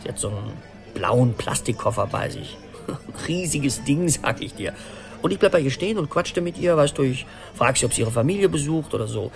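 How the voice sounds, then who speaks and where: With clear music behind him, a man in his forties, anxious and rambling. He talks in an animated, urgent monologue in radio drama style, at a medium male pitch. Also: normal speed. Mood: animated recounting. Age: 40s. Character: anxious, rambling middle-aged man in a long-distance romance. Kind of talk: radio play acting, conversational monologue.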